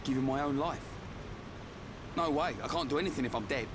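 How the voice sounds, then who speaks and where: An actor playing a brash, loyal and straightforward young fighter in a video game. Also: male voice, medium pitch, a little fast, noisy background, close to an everyday voice. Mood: confident, blunt. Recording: game music behind voice.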